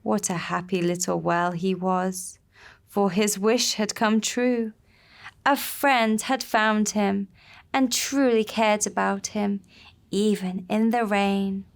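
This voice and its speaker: A woman narrating a children's puppet-show story in a gentle, unhurried storybook manner. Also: medium pitch, fairly even intonation, vocal fry.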